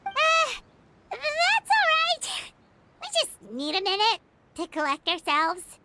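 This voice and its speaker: A woman voicing a small, excitable girl companion who is chirpy and bewildered and refers to herself in the third person. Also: extremely high pitch, slow, noisy background, full character voice. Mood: thoughtful.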